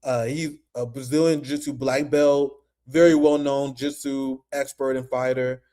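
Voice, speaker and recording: A young man hosting a casual political commentary stream, animated and profane, laughing often. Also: medium pitch, normal speed.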